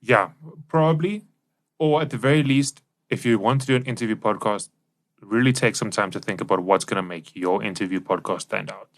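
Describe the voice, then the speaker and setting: A man's voice: fairly low pitch, a little fast. A man co-hosting a podcast, giving advice in a casual, conversational way. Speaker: podcast co-host.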